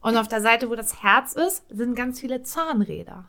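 Woman, fairly high voice, casual co-host, enthusiastic, teasing. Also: expressive, normal speed, bright, forceful tone. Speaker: podcast co-host. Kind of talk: casual chat.

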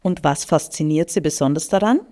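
A woman hosting a podcast in a polished, read-aloud manner. Her voice sits low and sounds mellow and warm. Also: brisk. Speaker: podcast host. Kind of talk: scripted introduction and interview questions.

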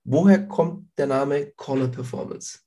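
A man's voice: mid-range voice, measured pace, dark tone. A man hosting a sports podcast who has a cold, asking questions with some hesitant fillers. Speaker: podcast host. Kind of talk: podcast interview.

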